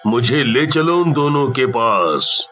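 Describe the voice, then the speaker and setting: Elderly male sage for a cartoon, acted by a man: stern protector, very slow, deliberate, faint music bed. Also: mid-range voice, animated, fairly bright tone, lo-fi sound. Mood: grave. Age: older. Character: stern old holy hermit, grave protector.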